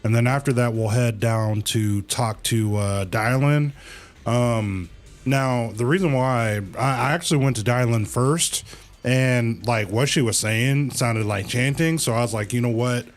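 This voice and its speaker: A man livestreaming a game with casual commentary, thinking aloud in a halting way and repeating phrases. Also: mid-range voice.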